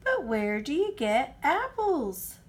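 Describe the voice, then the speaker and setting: A woman's voice: high-pitched, sing-song, slow, voice pushed up, exaggerated voice. A woman voicing a cheerful, simple, good-hearted male train driver in a folksy, easygoing way for a picture book. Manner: folksy, easygoing, puzzled questioning.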